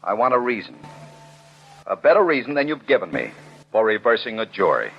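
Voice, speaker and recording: A man's voice: fairly low pitch, huge pitch swings, brisk, creaky, over music, full character voice. A man playing a stern, skeptical commissioner in a 1940s radio drama, authoritative and unbending. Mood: stern, adamant. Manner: authoritative, argumentative. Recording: dull old 1940s radio broadcast.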